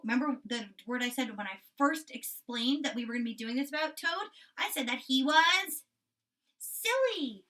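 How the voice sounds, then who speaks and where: A woman's voice: a little high, pushed and bright, distant mic, rough recording. A woman teaching young children through a read-aloud, explaining simply and expressively and checking in with questions.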